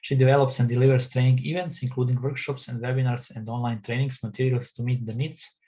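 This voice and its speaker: A man's voice: medium pitch, flat delivery, fast, mellow, husky. Serbian-accented man chairing an online session. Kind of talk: moderating an online academic session.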